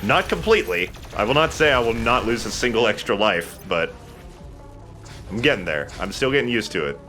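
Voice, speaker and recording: A man's voice: lowish voice, big pitch swings, a little fast, pushed and bright, faint music behind. A man hosting a let's play, chatting casually over his game with self-deprecating banter. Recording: clear background noise. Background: game sound.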